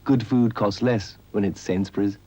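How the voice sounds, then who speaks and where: A man's voice: fairly low pitch, steady tone, normal speed, dark tone. A man reading an advert voice-over in a precise, understated manner. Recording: dull, dry studio recording.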